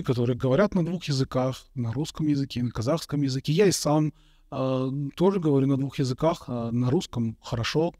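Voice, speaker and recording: A man in his thirties, an Orthodox monk from Kazakhstan, answering interview questions slowly and thoughtfully, with many pauses, into a studio mic. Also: medium pitch, darkish tone, no echo. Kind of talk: calm reflective interview answers. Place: bright indoor room, interview set. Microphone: studio mic on a boom in front of him.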